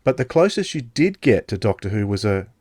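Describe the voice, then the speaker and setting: A man hosting a podcast interview, framing questions conversationally with halting, informed phrasing. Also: lowish voice, big pitch swings, a little mellow, slightly rough, no room sound, studio quality.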